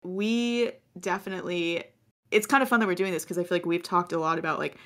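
An American woman in her twenties with a notably low voice for a woman. She co-hosts in loose, rambling conversation, often breaking into laughter. Her pitch sits on the low side. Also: steady tone, brisk. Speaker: podcast co-host. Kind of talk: casual chat.

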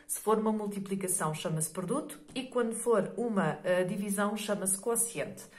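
A middle-aged woman with a European Portuguese accent, hoarse from a cold, walks through a maths lesson step by step. She talks patiently, at a middle pitch, and keeps checking in with the listener. The room around her voice sounds echoey. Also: normal speed. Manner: patient, explanatory, many fillers and checks like "ok?". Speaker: maths teacher.

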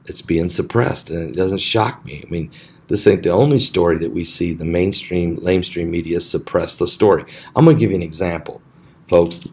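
A man hosting a live online show, giving casual news commentary in a raspy voice that he keeps low, with many hesitant fillers. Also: moderate pace, a little mellow.

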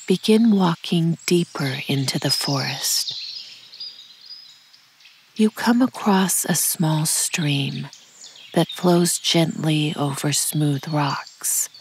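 A woman narrating a guided meditation in a calm, soothing, measured way, with a soft, breathy voice that often sinks to a near-whisper. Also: deep voice, a little hiss or hum. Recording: birdsong and forest ambience behind. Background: birdsong, insects, nature ambience.

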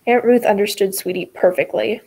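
A woman's voice: mid-range voice, a little fast, dark tone. A teenage girl reading a picture book aloud over a video call.

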